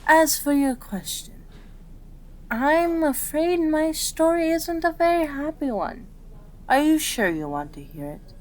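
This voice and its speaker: A woman voicing a gentle, patient female kitsune doctor in an ASMR roleplay, with a soothing, polite, measured manner. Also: high-pitched, animated. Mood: reluctant, somber.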